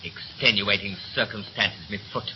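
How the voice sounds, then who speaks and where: An actor in a 1930s radio play as a young third officer, brisk and slangy, chatty yet scornful and resentful. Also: male voice, fairly low pitch, fairly even intonation, lightly acted. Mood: bitter, indignant. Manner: brisk, breezy, clipped slangy speech. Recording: muffled, hissy 1930s radio recording.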